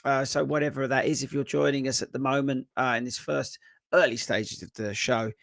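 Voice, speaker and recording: Croaky running coach on a live stream. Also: male voice, medium pitch, steady tone, brisk, a bit bright, no echo, clean studio sound. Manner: chatty, rambling with many fillers. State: hoarse, voice just coming back. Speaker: running coach and podcast host. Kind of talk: casual live-stream chat. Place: home desk.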